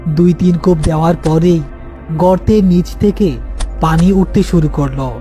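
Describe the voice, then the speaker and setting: A man's voice: high-pitched, fairly even intonation, normal speed, dark tone, faint music behind. A man narrating a scripted horror story as its storyteller.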